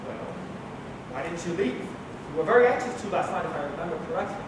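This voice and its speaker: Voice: mid-range voice, expressive, brisk, lightly acted. A young performer playing a harried, stubborn wrongly accused man in a live stage radio play, brusque and theatrical. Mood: skeptical, puzzled. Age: teenager or young adult. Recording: echoey hall, far mic, noisy livestream.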